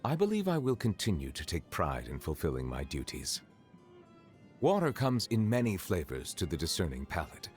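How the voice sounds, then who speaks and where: A man playing a formal, reserved and courteous chief justice in a video game, speaking in a measured, eloquent, solemn way. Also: deep voice, a little mellow. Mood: composed, courteous. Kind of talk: scripted character voice lines.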